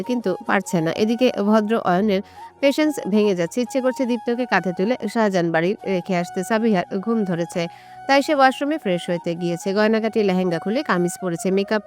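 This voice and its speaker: A woman's voice: mid-range voice, darkish tone. A woman reading a romantic audio story as scripted narration. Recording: music bed under the voice. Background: music.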